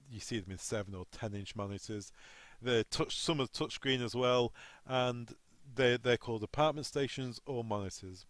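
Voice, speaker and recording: A man working as a technical trainer, explaining equipment step by step in an instructional walkthrough. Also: lowish voice.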